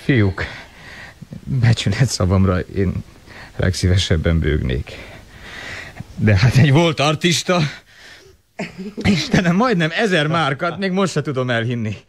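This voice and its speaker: A man's voice: mid-range voice, sing-song, pauses often. An actor playing a plucky, polite young orphan boy, earnest and spirited, in a radio drama. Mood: moved, grateful. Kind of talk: 1980 radio drama acting.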